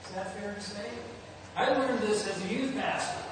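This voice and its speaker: Middle aged guy preaching slowly from a pulpit, measured, far from the mic with clear background noise. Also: high voice. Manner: measured, deliberate delivery with pauses. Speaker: pastor. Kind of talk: sermon. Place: indoors at a church pulpit. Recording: echoey hall, distant mic, noisy background.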